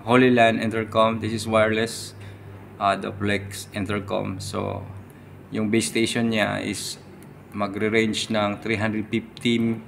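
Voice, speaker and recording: Gravelly, low-voiced Filipino man. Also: a bit bright, a little hiss or hum. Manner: halting, searching for words in short bursts. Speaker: vlogger reviewing gear. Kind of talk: casual product review vlog. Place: large tiled indoor hall. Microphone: phone at arm's length.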